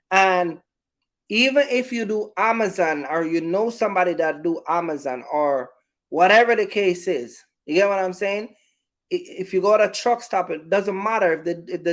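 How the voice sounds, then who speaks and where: A man talking informally to viewers as a business vlogger, giving emphatic, repetitive advice and often checking that they follow. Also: sits high.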